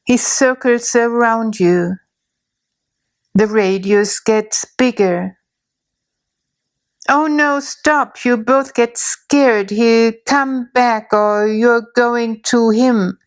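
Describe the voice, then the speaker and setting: A woman narrating a guided imagery story in a slow, soothing way, with long pauses. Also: a little high.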